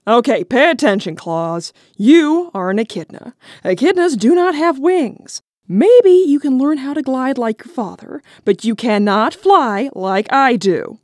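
A woman voicing a weary, sardonic guardian of a child in an animated comic dub, dry and exasperated. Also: sits high, measured pace, hardly any pauses, no echo. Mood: stern. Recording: music behind the voice.

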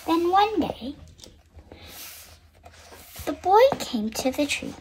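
A nine-year-old girl reading a children's picture book aloud, carefully and a little haltingly. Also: high-pitched, sing-song, darkish tone, a little hiss or hum, low-quality recording. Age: child, 9 years old. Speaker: book reader and show host.

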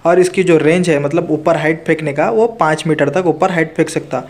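A man's voice: on the high side, brisk. A man, an AC technician walking through a hands-on tutorial in a plain, practical way, filmed on a handheld phone indoors.

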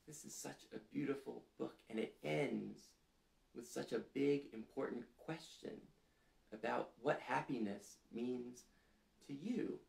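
A man in his thirties reading a picture book aloud to young children in a gentle, deliberate way, putting simple questions to them. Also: medium pitch, creaky. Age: 30s. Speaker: storytime reader for children. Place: small indoor living room, seated. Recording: roomy echo.

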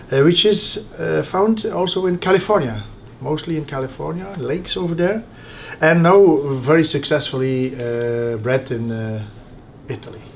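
Middle-aged guy showing caviar tins, lots of uhs, a bit of hiss behind him. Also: on the high side. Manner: halting, many uh fillers and restarts. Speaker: caviar producer guide. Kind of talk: explaining on a guided tasting. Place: small tasting room indoors.

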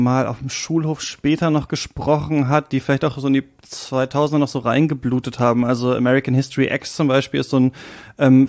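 A man's voice: medium pitch, a little mellow. A man talking casually as a guest on a film podcast, musing and hedging his points. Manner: musing, hedging, with light laughs.

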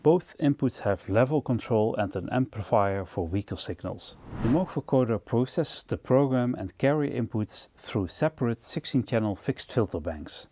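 A man presenting an instructional product overview in a measured, explanatory way. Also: mid-range voice, expressive, dark tone, breathy edge. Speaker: presenter of music gear demo videos.

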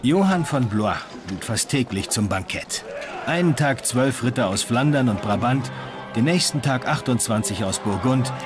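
A man playing a dignified medieval knight, recounting his travels in measured, formal, old-fashioned words. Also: medium pitch, natural voice. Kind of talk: first-person dramatised narration in a documentary. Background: music.

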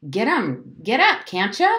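A woman's voice: fairly low pitch, sing-song, moderate pace, echoey room. A woman voicing a bossy, boastful young boy, an older brother, scornful and commanding in an audiobook story. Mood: urgent, yelling.

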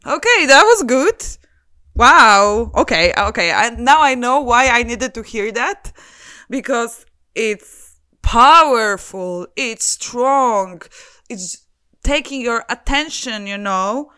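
A woman in her thirties from Poland, speaking with a Polish accent, holding a microphone in a cozy room and reacting to a song with excited exclamations and laughs. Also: on the high side, slow pace, clear, resonant tone. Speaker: YouTuber and music journalist. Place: cozy living room with fairy lights, indoors. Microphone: handheld microphone.

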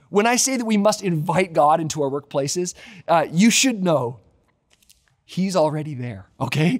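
A man in his thirties with a Canadian accent preaching a sermon as a pastor, emphatic and repeating key phrases for effect. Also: high-pitched. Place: large empty church auditorium.

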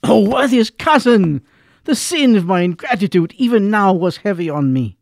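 A man's voice: sits high, lively intonation, normal speed, dry recording. An actor playing a gracious, affectionate king in a Shakespearean radio drama, with an effusive, regal manner. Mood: grateful, affectionate.

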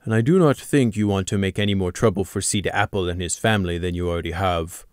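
A stony male pegasus guard, low and cold, quiet and grim, as a narrator puts him on. Dry and close. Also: quick pace, clear, resonant tone, dry recording, close to an everyday voice.

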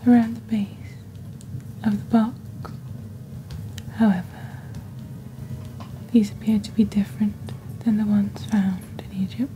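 Slow, soft, whispery woman as a witch presenter. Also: medium pitch, a little hiss or hum, close to an everyday voice, rough recording. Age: young adult. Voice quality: soft, breathy, half-whispered. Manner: slow, deliberate, measured. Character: female witch presenting a mystical shopping channel, composed. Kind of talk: ASMR roleplay, scripted product presentation.

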